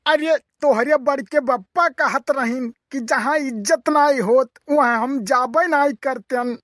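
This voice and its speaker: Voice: high-pitched, unhurried, a bit bright, no echo, clean studio sound. A performer voicing a teasing, grumbling cartoon village uncle with a rustic eastern Uttar Pradesh accent and exclamatory, colloquial delivery. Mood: indignant storytelling. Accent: eastern Uttar Pradesh (Awadhi). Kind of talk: rustic comedy cartoon dialogue.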